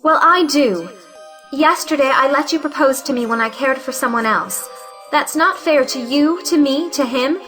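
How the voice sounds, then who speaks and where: A spirited young woman from an old stage comedy, played by an actress. Her high female voice is bright and forceful, frank and emphatic with proud dignity, in an audio play. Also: normal speed, soft background music.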